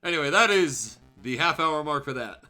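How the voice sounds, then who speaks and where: A man's voice: fairly high pitch, animated, normal speed, pushed and bright. A man streaming games as show host, chatting casually with wry, sweary remarks. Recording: music playing faintly behind the voice.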